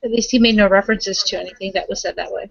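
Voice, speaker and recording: A woman's voice: mid-range voice, fairly even intonation, very fast, vocal fry, no echo. A woman playing in a tabletop roleplay, chatting casually in character, on dull, lo-fi audio.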